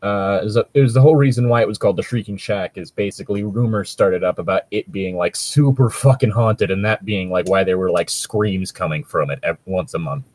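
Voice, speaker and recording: A man's voice: deep voice, brisk, hardly any pauses, darkish tone, no echo. A man chatting casually as a co-host, explaining things in a rambling, sweary way. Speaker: co-host reading and discussing fan fiction. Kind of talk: casual chat and commentary.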